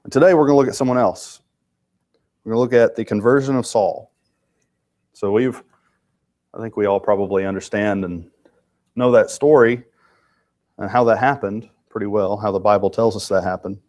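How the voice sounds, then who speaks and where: A man's voice: lowish voice, moderate pace, pauses often, darkish tone. A man with a congested voice teaching a church Bible class in a loose, conversational way. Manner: informal, with restarts and asides to the class.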